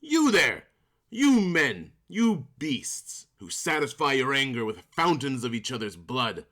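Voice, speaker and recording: A man's voice: mid-range voice, sing-song, measured pace, bright, forceful tone. An actor playing a stern, commanding male prince who scolds feuding families in an audio drama. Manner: authoritative, scolding.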